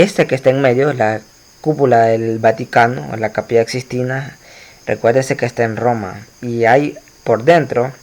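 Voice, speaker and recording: Male teacher, mid-pitched, chatty lecture delivery with lots of "eh" fillers and self-corrections, talks straight to his students. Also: darkish tone. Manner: explanatory, many fillers and restarts, addresses students directly. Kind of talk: recorded slide lecture.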